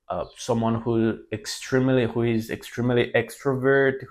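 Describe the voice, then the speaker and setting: A man in his twenties from Brazil with a Brazilian Portuguese accent and Portuguese as his first language, talking to camera in a casual, halting way, often restarting his sentences. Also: medium pitch. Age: 20s. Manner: halting, many restarts and self-corrections. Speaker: language mentor.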